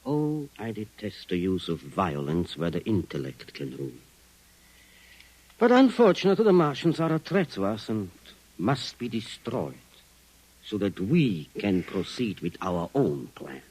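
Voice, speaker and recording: A coldly superior Venusian alien. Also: male voice, lowish voice, a little slow, breathy edge, heavily acted, rough recording. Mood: cool menace. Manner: calm, condescending, menacing. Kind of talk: 1950s radio drama acting.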